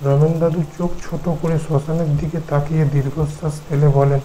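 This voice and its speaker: A man's voice: on the high side, flat delivery, fairly quick, dark tone, a little hiss or hum, rough recording. A man narrating a scripted horror cartoon story.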